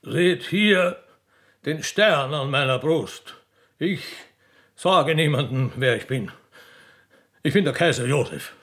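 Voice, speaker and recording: A benevolent old emperor in a radio drama. He speaks very slowly and solemnly, with an Austrian accent and a gravelly edge to his stately voice. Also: fairly high pitch, expressive, a bit bright, pitched above the performer's own voice, heavily acted. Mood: majestic. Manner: slow, solemn, pompous.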